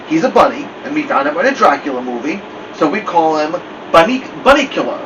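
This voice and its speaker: A brisk, reasonable storybook mother, acted by a man, placating and firm, with lifted, lively pitch. The sound is distant with noise behind it. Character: brisk, reasonable mother settling her children's squabbles. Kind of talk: children's storybook character reading. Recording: distant, noisy, dull room recording.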